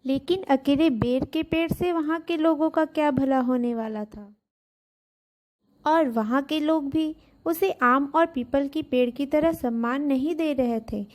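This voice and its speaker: A woman's voice: high voice, measured pace, a little mellow. A woman telling a scripted children's story as a storybook narrator.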